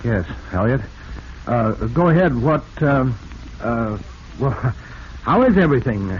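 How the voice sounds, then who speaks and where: A guarded, nervous office schemer, vintage radio. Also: male voice, medium pitch, big pitch swings, takes it slowly. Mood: tense, furtive. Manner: hesitant, polite, guarded. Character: scheming, nervous male publishing-house reader and failed author. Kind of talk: 1940s radio acting. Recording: muffled, noisy vintage radio recording.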